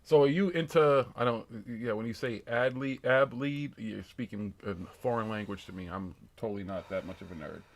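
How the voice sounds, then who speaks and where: A man's voice: medium pitch, moderate pace, few pauses. A man of fifty co-hosting a live show, chatting loosely and profanely, rambling through stories and viewer comments. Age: 50s. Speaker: podcast co-host. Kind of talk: casual live-stream chat reading comments.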